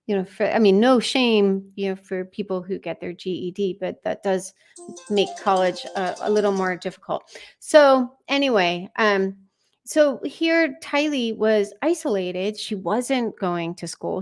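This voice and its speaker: A woman hosting a true crime live stream, giving informal, conversational commentary with wry asides. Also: medium pitch. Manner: conversational, wry asides, acts out read messages.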